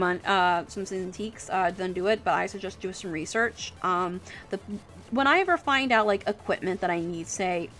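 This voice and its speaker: A woman's voice: medium pitch, a bit bright. A woman chatting casually and informally as a livestream host, with an encouraging manner. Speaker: livestream host and digital artist. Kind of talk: casual livestream chat while drawing. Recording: music playing behind the voice. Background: music.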